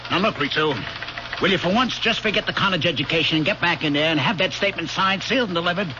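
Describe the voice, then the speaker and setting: A man's voice: high voice, fast talker, background noise, lightly acted. An actor playing an impatient, weary police lieutenant who grumbles sarcastically at his partner, in an old radio drama. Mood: exasperated. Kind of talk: 1970s radio drama acting. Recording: dull lo-fi old radio broadcast.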